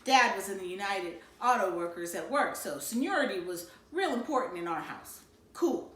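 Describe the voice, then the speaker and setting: A woman narrating a storybook aloud in an expressive read-aloud style. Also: mid-range voice, normal speed. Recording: echoey room.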